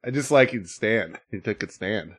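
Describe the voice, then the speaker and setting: A man's voice: lowish voice, animated, moderate pace, noisy background. A middle-aged man chatting casually on a podcast, telling stories with lots of filler words. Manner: rambling storyteller with filler words. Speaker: podcast co-host. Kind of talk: casual chat.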